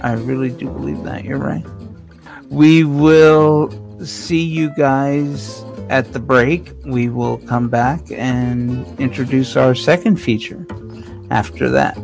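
Casting: male radio host, slow and gravelly, conversational, guitar music underneath. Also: mid-range voice, a little mellow. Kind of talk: casual radio show chat.

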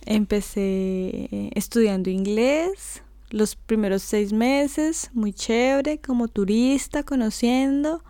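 A young Colombian woman with a Colombian Spanish accent, chatting casually as co-host, relaxed and laughing often with many fillers. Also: a little high, slow, no room sound, studio quality. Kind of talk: casual conversation.

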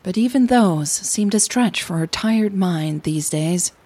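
A woman podcast host reading scripted audio drama narration in a measured, intimate way with deliberate pauses. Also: lowish voice, expressive, dark tone, breathy edge, no echo.

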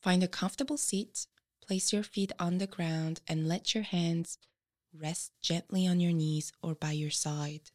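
A woman leading a guided meditation, giving measured instructions with frequent pauses. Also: on the low side, fairly even intonation, darkish tone.

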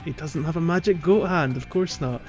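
A man's voice: on the high side, normal speed, over music. Chatty man on muffled podcast audio. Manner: chatty, laughs easily, many fillers and restarts. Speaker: podcast co-host. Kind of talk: casual chat about a video game.

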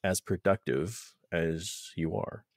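A man hosts a podcast interview in a very low voice. He asks questions casually and reworks them as he talks. The recording is dry, with no room sound. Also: slow pace, no room sound. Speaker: podcast host.

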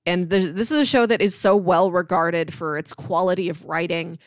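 A woman co-hosting a podcast, casually retelling scenes like an animated storyteller. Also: lowish voice, normal speed, dry recording, clean studio sound. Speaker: podcast co-host.

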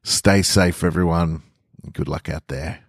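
A man's voice: deep voice, unhurried, gravelly, no room sound, studio quality. A man hosting a podcast, speaking earnestly and gratefully to listeners in a sincere sign-off. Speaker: podcast host and story reader.

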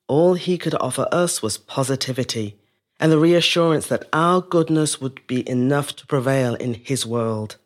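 A woman playing a resilient middle-aged woman telling her life story in a measured, reflective dramatic monologue. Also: deep voice, heavily acted. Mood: reflective, pained. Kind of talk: scripted dramatic monologue, first-person storytelling.

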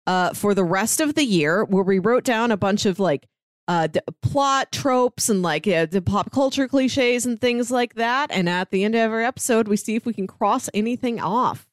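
A woman's voice: medium pitch, normal speed, dry recording, clean studio sound. A woman co-hosting a podcast, chatting casually about pop culture. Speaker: podcast co-host.

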